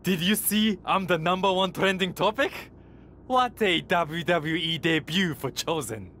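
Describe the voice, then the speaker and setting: A man's voice: sits high, animated, unhurried, pushed and bright, a little hiss or hum, full character voice. Cocky, lighter-voiced young male wrestler. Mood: smug. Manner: smug, self-absorbed. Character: cocky, vain, social-media-obsessed young male wrestler. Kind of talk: video game cutscene dialogue.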